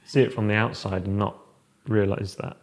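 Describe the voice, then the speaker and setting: A young architect chatting casually while showing a room in a house, rambling with many fillers and restarts. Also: male voice, fairly low pitch, fairly even intonation, normal speed. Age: young adult. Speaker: architect guest. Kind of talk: casual chat, house tour. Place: large open-plan house living room, indoors.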